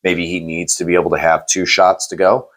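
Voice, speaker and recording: A man hosting a casual post-game chat, hoarse and losing his voice, talking in rambling, self-correcting runs and teasing his co-host. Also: sits low, flat delivery, brisk, a bit bright. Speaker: channel host.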